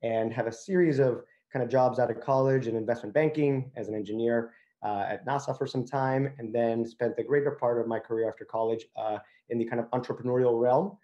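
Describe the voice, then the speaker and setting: A man's voice: medium pitch, steady tone, fairly quick, hardly any pauses, dark tone, dull audio. A man from Venezuela speaking as a company founder on a discussion panel, conversational and peppered with verbal hedging. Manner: conversational, frequent filler "you know" and "kind of".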